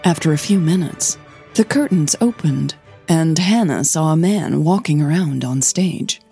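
A woman narrating scripted fiction in a measured, intimate storytelling manner. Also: low voice, gravelly, dark tone, breathy edge, faint music behind. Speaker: audio drama narrator.

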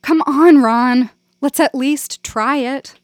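A pushy, passionate young woman drama student who pleads and insists, pitched up and louder than the narration, as the woman reading voices her. The pace is unhurried and the sound is dry and close. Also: dry recording. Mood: pleading.

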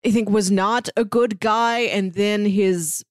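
A woman's voice: medium pitch, steady tone, slow, no echo, clean studio sound. A woman co-hosting a podcast, talking casually through a book in a conversational way. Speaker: podcast co-host.

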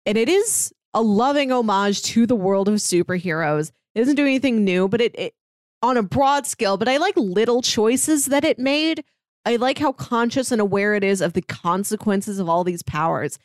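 A woman with a medium-pitched voice, recorded close and dry with no room sound. She speaks as a podcast panelist, casual and analytical. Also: moderate pace, no echo.

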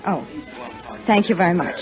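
An actress playing a cool, haughty high-class lady in an old radio western, on a dull recording. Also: expressive, moderate pace, a little mellow, soft background music, close to an everyday voice. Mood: haughty, icy.

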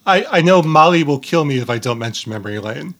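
Halting, polite male podcast host. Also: on the high side, animated, a bit bright. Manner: halting, repeats himself, polite. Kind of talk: casual interview chat.

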